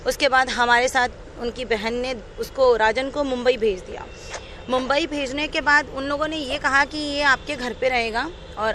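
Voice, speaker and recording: A woman's voice: on the high side, a bit bright, slight background noise. A woman giving an unscripted, earnest and detailed personal account as an interviewee. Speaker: interviewee telling her own account.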